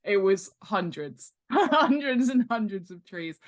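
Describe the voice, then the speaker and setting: A woman's voice: mid-range voice, animated, takes it slowly. A woman chatting casually as an interview guest, talking in a humorous, self-deprecating way about herself and her work. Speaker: guest author and world-building expert.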